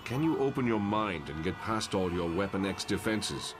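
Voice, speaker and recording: A grave yet caring professor played by a man in a motion comic. He has a low voice, heard over clearly noisy ambience. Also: lively intonation, normal speed. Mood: grave, urgent. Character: grave, caring male telepath professor and mentor.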